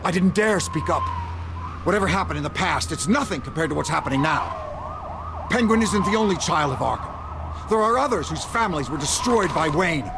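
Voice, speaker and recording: An actor playing a corrupt middle-aged mayor in a video game, blustering, indignant and easily rattled. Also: male voice, fairly high pitch, animated, moderate pace, noisy background. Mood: bitter, justifying. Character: corrupt, panicky middle-aged male city mayor, blustering and self-serving. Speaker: politician character. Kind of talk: dramatic video game acting.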